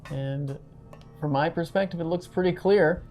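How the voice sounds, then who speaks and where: A woman's voice: low voice, moderate pace, darkish tone. A woman playing a tabletop roleplaying game, chatting casually about her moves.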